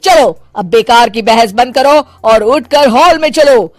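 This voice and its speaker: A woman voicing a stern, older retired policewoman mother-in-law who barks commands in an animated story. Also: fairly high pitch, no echo. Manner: barking drawn-out commands, strict. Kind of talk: animated moral story voice acting.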